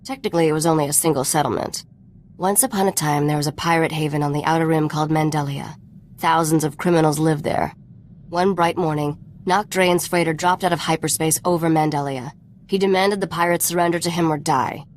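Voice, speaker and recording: A woman's voice: low-pitched, steady tone, brisk, a little hiss or hum, natural voice. A woman playing a sly, cool, worldly treasure hunter in a video game, wry and knowing. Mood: storytelling.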